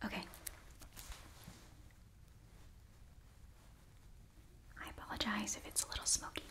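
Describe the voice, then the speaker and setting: A young woman playing an earnest, flustered witch librarian in a whispered, breathy ASMR roleplay, speaking haltingly close to the microphone. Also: close to an everyday voice. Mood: resigned. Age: young adult. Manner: hushed, halting, fussy. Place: small indoor set, close to microphone.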